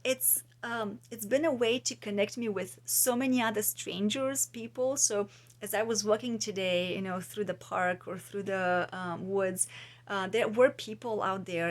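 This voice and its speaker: A woman's voice: mid-range voice, steady tone, measured pace. A woman talks casually and reflectively to viewers, musing and often searching for her words. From Bulgaria. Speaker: vlogger and podcast host; trauma practitioner.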